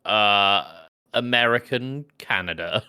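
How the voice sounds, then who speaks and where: A man's voice: on the low side, slow, sharp tone, smooth. A man co-hosting a podcast in casual, conversational chat. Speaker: podcast co-host.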